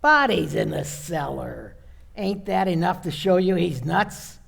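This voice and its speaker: A man's voice: high voice, animated, unhurried, gravelly, a bit bright, heavily acted. A man playing a brusque, cocksure patrol cop, blunt and slangy, in an old-time radio play.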